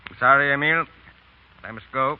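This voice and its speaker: A man's voice: medium pitch, clear, resonant tone, lightly acted. Man acting earnest, reproachful painter on tinny radio. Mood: earnest, regretful. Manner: earnest, reproachful, deliberate phrasing. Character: principled, poor middle-aged French painter, frank old friend. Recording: tinny, narrow 1930s radio broadcast.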